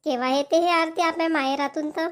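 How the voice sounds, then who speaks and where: A woman acts out a chatty village wife from a homely Marathi family cartoon. Her voice is high, with a Varhadi accent from Vidarbha. She talks in a plain, conversational way, like everyday family dialogue. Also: steady tone, fast talker, lightly acted. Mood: weary, complaining.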